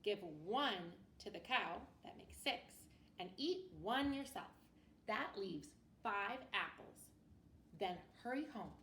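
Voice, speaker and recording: A woman reads a children's picture book aloud like a schoolteacher, at an unhurried pace with big swoops in pitch. She is expressive and breaks off to put questions to her young listeners. The sound is roomy, as if the microphone sits some way off. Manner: animated, expressive, pausing to ask the listeners questions. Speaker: elementary school teacher. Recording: roomy, distant mic with faint noise.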